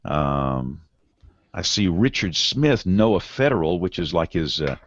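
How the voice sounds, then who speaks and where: A man's voice: deep voice, very animated, takes it slowly, dry recording, lo-fi sound. A man hosting a weather podcast in a studio, with loose, laughing banter. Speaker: podcast host. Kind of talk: casual chat and show opening. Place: broadcast studio.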